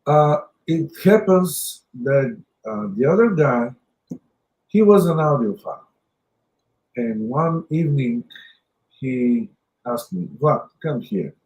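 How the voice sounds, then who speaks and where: A man with a Bulgarian accent, a guest telling stories in an interview, halting with many fillers. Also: mid-range voice, expressive, a little mellow, low-quality recording. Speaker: guest, audio company owner. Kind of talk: storytelling interview.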